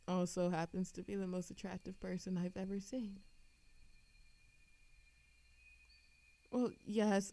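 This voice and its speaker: A woman playing a lovesick, defensive immortal in an audio roleplay, speaking in a halting, emotional way to the listener. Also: lowish voice, vocal fry, a little mellow, no room sound. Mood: wistful, teasing. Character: lovesick immortal woman, defensive, reckless, confessing to a Grim Reaper. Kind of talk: audio roleplay monologue, acted intimately to the listener.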